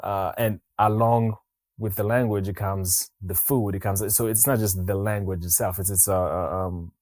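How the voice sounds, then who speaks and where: A man from Mozambique hosting a podcast interview, talking in a casual, halting way with frequent restarts. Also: low-pitched, steady tone, darkish tone, no room sound, clean studio sound. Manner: halting, many restarts and fillers. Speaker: podcast host.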